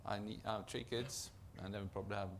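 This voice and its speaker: A man with a low, gravelly voice and a Norwegian accent gives a conference presentation. He speaks haltingly, often stopping to restart and repeat words. His voice is deep and creaky throughout. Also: steady tone, a little hiss or hum. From Norway. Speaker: project manager presenting at a conference.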